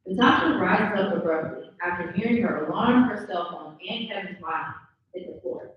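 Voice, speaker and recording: A woman's voice: medium pitch, animated, normal speed. A woman reading stage directions as the narrator of a live script table read. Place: live event in a room. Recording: very echoey room, distant, slightly dull.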